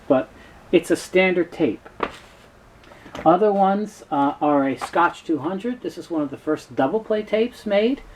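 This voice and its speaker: A man in his fifties giving an unscripted explainer in a halting, matter-of-fact way. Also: on the high side, dark tone, poor audio. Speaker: presenter, tape specialist. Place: cluttered indoor workroom with shelves. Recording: clear background noise, room ambience.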